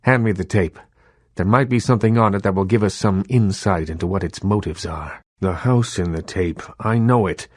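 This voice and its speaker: Old-fashioned vampire boss for a game, lowish voice, world weary and theatrical, really dry close sound. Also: male voice, moderate pace, a little mellow, no room sound. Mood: grave, scheming. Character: proud old-fashioned vampire elder, Hollywood baron. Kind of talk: scripted video game dialogue.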